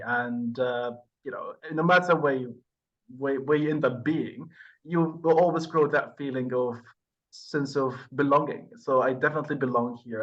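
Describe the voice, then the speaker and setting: A man's voice: a little high, fairly bright tone, lo-fi sound. Hesitant man in his thirties, from Libya. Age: 30s. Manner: hesitant, thoughtful, many fillers and restarts. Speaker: IELTS test candidate and channel host. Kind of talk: mock speaking exam over video call.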